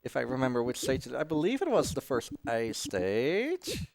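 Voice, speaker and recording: A man's voice: mid-range voice, wildly swooping pitch, normal speed, gravelly, darkish tone, no echo. A man giving casual, jokey live commentary as he plays through a video game. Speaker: speedrunner. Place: live gaming marathon event. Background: game music.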